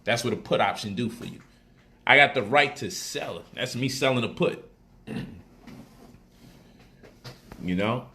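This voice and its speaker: A man's voice: medium pitch, a little slow, pauses often, bright, forceful tone. A man casually explaining stock options on a livestream, repeating phrases for emphasis and switching into loose street slang.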